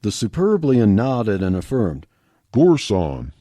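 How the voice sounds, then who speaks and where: Man narrating with mellow tone. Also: mid-range voice, sing-song, unhurried, no room sound, clean studio sound. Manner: plain storytelling. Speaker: podcast reader. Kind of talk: read fiction narration.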